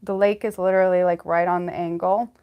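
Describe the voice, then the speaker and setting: Young woman livestream host, slightly low voice, faint lisp, talks in halting casual bursts with frequent fillers. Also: little pitch movement, clear, resonant tone, highs cut off. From California, United States. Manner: halting, many fillers and restarts.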